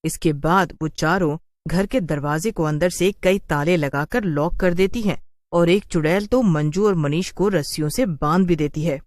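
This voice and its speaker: A woman's voice: lowish voice, normal speed, a little mellow, dry recording. A woman reading a scripted horror story as its narrator.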